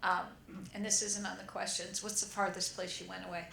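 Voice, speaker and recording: A woman's voice: medium pitch, little pitch movement, fairly quick, creaky, bright, forceful tone, a little hiss or hum. A woman moderating a conference panel with brief, friendly prompts to the speakers. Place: conference hall. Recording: roomy hall with faint ambience.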